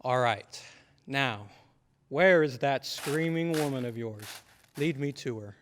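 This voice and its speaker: A man playing a stern but affectionate father in an old-time radio drama, with a measured, slightly pompous manner. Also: mid-range voice, expressive, a bit bright, a little hiss or hum. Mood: indulgent, skeptical. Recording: reverberant hall.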